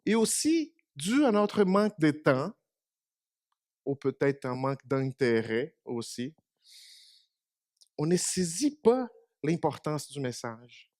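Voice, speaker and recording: Preacher, male, native Portuguese speaker, slightly high voice, chatty sermon to a few people. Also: sing-song, slow pace, long pauses, a little mellow, dry recording, studio quality. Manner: conversational, asks the congregation questions. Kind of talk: short church meditation. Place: small church.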